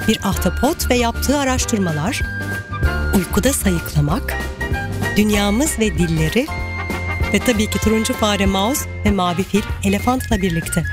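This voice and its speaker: Woman reading an opener over loud music. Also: medium pitch, expressive, moderate pace. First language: Turkish. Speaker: team member reading the show opener. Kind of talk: scripted reading of a program opener.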